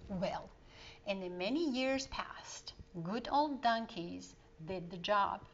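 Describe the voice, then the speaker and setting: Storybook mill man, read by a woman: patient, hearty, instructive, slow, slightly lo-fi sound. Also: mid-range voice, expressive, slight background noise. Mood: kindly, explaining. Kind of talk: children's storybook character reading.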